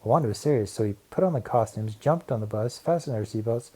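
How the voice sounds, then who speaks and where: Male narrator for a children's picture book, soft mellow tone, medium pitch. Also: expressive, fast, breathy edge. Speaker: storybook narrator. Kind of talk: scripted children's story narration.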